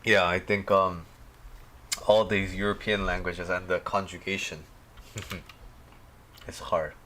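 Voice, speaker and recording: A man's voice: low voice, level tone, takes it slowly, pushed and bright, a little hiss or hum. Man with a sore-throat voice, rambling. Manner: rambling, hesitant, talks to viewers by name. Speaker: life coach hosting a livestream. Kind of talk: casual livestream chat.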